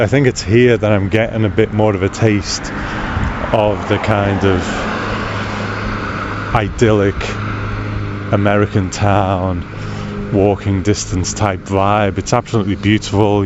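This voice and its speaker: A man's voice: on the low side, highs cut off. A man hosting a podcast, talking casually as he records on location outdoors. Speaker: podcast host. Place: outdoors on a town street. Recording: noisy outdoor recording with ambient street sound.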